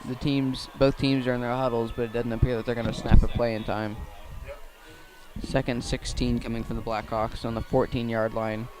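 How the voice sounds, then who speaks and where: A man with an American accent calls a football game live for radio. His pitch stays fairly flat as he follows the action. He is a little halting, repeating and correcting himself as he goes. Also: over music, poor audio. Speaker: play-by-play commentator. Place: outdoors at a football field.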